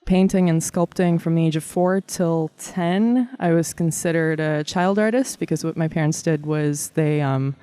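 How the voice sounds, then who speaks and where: Low-voiced woman from Kazakhstan, storytelling. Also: moderate pace, few pauses, clean tone. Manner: warm, animated storyteller with frequent laughs and fillers. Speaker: guest artist. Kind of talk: casual interview storytelling.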